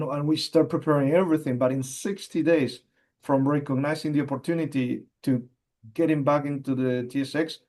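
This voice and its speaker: A man with an Argentine Spanish accent, a geologist answering interview questions in English, halting with frequent fillers and repeated words. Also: on the high side, mellow. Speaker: mining company executive, geologist.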